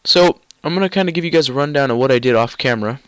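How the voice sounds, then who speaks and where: A man's voice: medium pitch, racing, a bit bright, clean tone, no echo. A young man with a stuffy, nasal cold, rambling casually through gameplay commentary with lots of ums and restarts. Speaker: gaming YouTuber.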